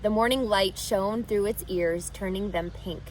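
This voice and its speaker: A woman reading a children's novel aloud with expressive, animated narration. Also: mid-range voice, unhurried, a little hiss or hum, rough recording. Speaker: reader. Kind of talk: storybook read-aloud.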